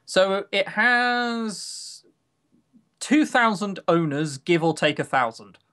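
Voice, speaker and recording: A man's voice: high voice, animated, takes it slowly, pushed and bright, clean tone. A man co-hosting a podcast in casual, opinionated chat, grumbling, sarcastic and given to swearing. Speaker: podcast co-host.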